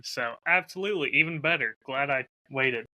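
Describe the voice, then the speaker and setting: Guy hosting, very bright crisp-edged voice, casual chat, dry close mic. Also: medium pitch, moderate pace, dry recording. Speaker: podcast host and whiskey audio drama creator. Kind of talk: casual chat, showing a bottle on a recording. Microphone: close lav mic.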